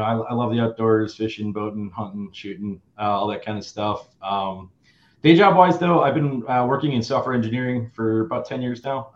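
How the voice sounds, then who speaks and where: A man in his early forties from Wisconsin, chatting casually as an interview guest, upbeat with lots of filler words. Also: on the low side. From Wisconsin, USA. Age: early 40s. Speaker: guest, fantasy football player. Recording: roomy, dull lo-fi sound.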